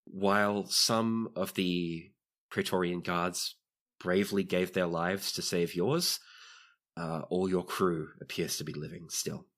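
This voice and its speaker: A man's voice: sits low, fairly even intonation, slow, fairly bright tone. A man narrating a tabletop roleplaying game as its game master.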